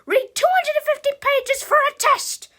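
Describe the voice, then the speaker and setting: A woman voicing a gleefully evil bionic supervillain woman from a comic-book story, loud, boastful and cackling. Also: very high-pitched, very animated, takes it slowly, pitched above the performer's own voice, heavily acted. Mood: snarling, evil.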